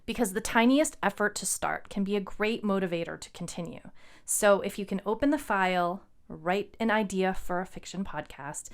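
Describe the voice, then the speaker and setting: A woman with a smooth, clean voice talks as a podcast co-host. She is informal and encouraging, like a writer handing out advice in easy conversation. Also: mid-range voice. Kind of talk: casual conversational podcast talk.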